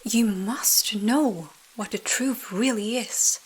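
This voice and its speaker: Storybook fairy, voiced by a woman: calm, gently admonishing, measured pace, breathy husk. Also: on the high side, poor audio. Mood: stern. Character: kind but stern female fairy, calm and maternal. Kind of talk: bedtime storybook character voice.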